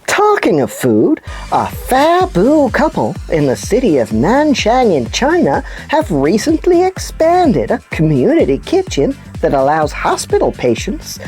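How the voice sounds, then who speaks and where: A woman voicing an excitable, theatrical puppet news presenter on a scripted children's show. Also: mid-range voice.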